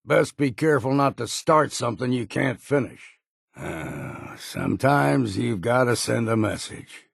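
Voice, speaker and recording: An actor playing a gruff, weary old hunter in a video game, grumbling and wary in a folksy, plainspoken rustic drawl. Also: male voice, medium pitch, expressive, unhurried, dry recording, studio quality, exaggerated voice. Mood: matter-of-fact. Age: older. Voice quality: gravelly, husky. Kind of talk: video game character voice lines.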